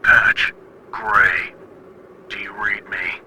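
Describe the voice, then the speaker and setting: A man playing a stern, menacing military commander in an animated horror series, giving a cold, condescending briefing over the radio. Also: on the low side, drawn-out words, full character voice. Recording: very noisy radio transmission.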